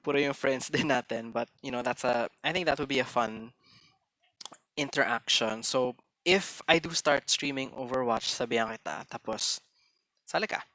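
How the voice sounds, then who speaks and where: Bright streamer man. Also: mid-range voice, no room sound. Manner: chatty, hesitant restarts, mixes in Tagalog phrases; tends to talk fast. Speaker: game streamer. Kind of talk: casual live-stream chat.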